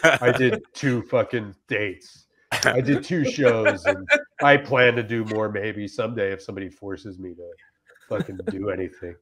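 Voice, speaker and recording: A man in casual comic chat and reading reviews aloud, rambling and deadpan, with some swearing, on a video call. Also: on the low side, normal speed, crowd noise. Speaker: comedian guest. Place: indoors on a group video call.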